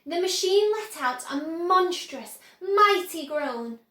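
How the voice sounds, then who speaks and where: A woman reading a children's book aloud with expressive, dramatic emphasis. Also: extremely high pitch, slow pace. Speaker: storyteller. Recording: echoey room.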